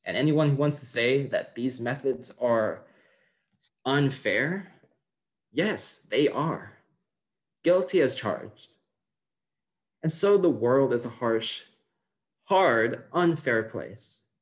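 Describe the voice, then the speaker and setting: A man performs a brash male motivational guru on stage. He takes his time at an ordinary male pitch. Each short phrase lands as a punchy, cocky declaration. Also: lively intonation, slow pace, pauses often. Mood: contemptuous, insistent. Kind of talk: motivational seminar monologue, stage acting.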